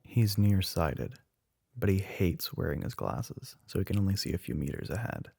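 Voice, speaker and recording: A man playing a smug, teasing, telepathic young male classmate in an ASMR roleplay, with a husky, breathy, often whispered voice. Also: low voice, sing-song, dark tone, no echo, exaggerated voice. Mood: dismissive, coaxing. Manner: smug, teasing, drawn-out knowing remarks.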